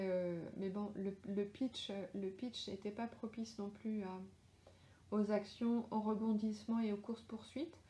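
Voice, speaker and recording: A woman's voice: fairly high pitch, mellow, roomy sound. A middle-aged woman with a strong French accent, chatting casually with readers in a live session from her home, with many fillers and restarts. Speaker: author answering readers live. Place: home, lives in Australia.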